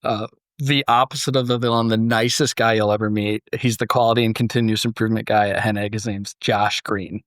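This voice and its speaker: A man hosting a casual conversational podcast, talking like an animated storyteller who acts out imagined remarks. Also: on the low side, brisk, dry recording, clean studio sound. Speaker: podcast host.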